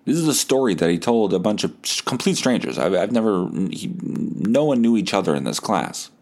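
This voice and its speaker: A man hosting a podcast, telling stories in a casual, comedic, animated way with some swearing. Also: lowish voice, brisk. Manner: animated, acts out quoted dialogue; profane. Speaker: podcast host.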